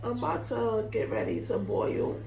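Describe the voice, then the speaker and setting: Hoarse sick woman chatting in a kitchen. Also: a little high, huge pitch swings, moderate pace, mellow, lo-fi sound. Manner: chatty, apologetic, addresses viewers as "guys". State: sick, losing her voice, hoarse. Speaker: cooking vlogger. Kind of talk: casual chat to camera. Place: home kitchen. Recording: roomy echo, mic at a distance.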